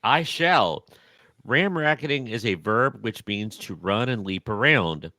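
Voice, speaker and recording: Playful podcast man with a bright voice. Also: mid-range voice, lively intonation, measured pace, dry recording. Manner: playful, wordplay and jokey sound effects. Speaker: podcast co-host. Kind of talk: casual podcast chat.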